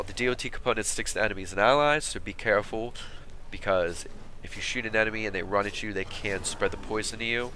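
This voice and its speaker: A man with a stuffed-up cold, giving relaxed, chatty commentary over game footage for a weapon build video. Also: fairly low pitch, a little hiss or hum. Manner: relaxed, chatty, with fillers and quick asides. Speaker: gaming YouTuber. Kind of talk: casual gameplay commentary.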